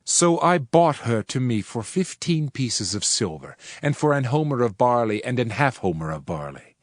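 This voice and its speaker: A man playing a solemn Old Testament prophet, reciting scripture in a measured, declamatory way. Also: mid-range voice, very animated, dry recording, clean studio sound, lightly acted. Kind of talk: dramatized King James scripture narration. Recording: music under the voice. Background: music.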